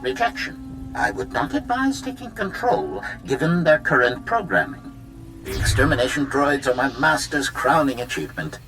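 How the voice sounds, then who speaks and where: A man's voice: mid-range voice, very animated, moderate pace, over music, close to an everyday voice, rough recording. Man voicing a sardonic killer droid, wildly swooping. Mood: cold warning. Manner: announces speech type before sentences, clipped and formal. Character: sardonic bloodthirsty assassin droid, cold and formal. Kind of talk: video game voice acting.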